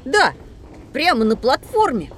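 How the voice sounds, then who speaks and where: A woman's voice: high voice, measured pace, slight background noise. A woman playing an eager, inquisitive young boy who likes to show what he knows, in a scripted children's audio drama. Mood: eager, curious. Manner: eager, know-it-all, expressive. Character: bright inquisitive young boy on a metro tour.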